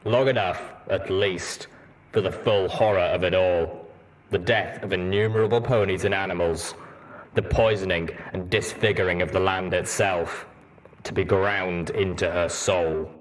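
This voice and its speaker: A man voicing a blunt, world-weary armored pony soldier in a measured, matter-of-fact way for a storybook reading. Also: low voice, steady tone, rough recording. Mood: grim.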